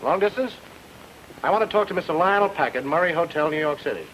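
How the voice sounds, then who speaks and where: Flippant private eye: rapid, animated delivery, breezy wisecracks, vintage radio mystery. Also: male voice, fairly high pitch. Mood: breezy, glib. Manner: glib, flippant. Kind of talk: old-time radio detective drama acting. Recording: noisy old radio recording.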